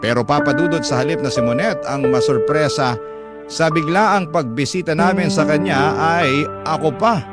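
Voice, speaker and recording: A man's voice: on the high side, normal speed, lightly acted. Narrator male actor: sincere dad recounting hard times, radio drama storytelling, strong music underneath. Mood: sorrowful, reflective. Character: earnest father recounting his hardships. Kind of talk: scripted first-person narration, radio drama. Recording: loud music bed under the voice. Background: loud instrumental music.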